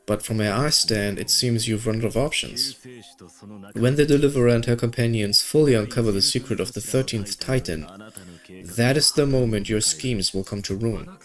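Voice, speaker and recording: Low-voiced man reading game story aloud. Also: moderate pace, a bit bright. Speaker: gaming video creator. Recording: music behind the voice.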